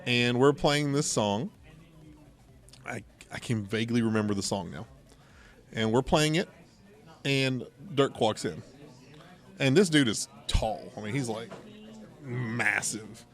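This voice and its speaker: A man's voice: mid-range voice, slow pace, pauses often, faint music behind. A middle-aged American man hosting a podcast, telling stories conversationally with plenty of digressions and asides. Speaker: podcast host. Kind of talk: casual storytelling and banter. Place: local game store.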